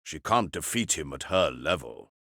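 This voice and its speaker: Man voicing a very deep, grim, frustrated fighter. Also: expressive, normal speed, bright, forceful tone, no echo, clean studio sound, full character voice. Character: grim, frustrated male military fighter muttering his thoughts. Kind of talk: dramatic audiobook character voice.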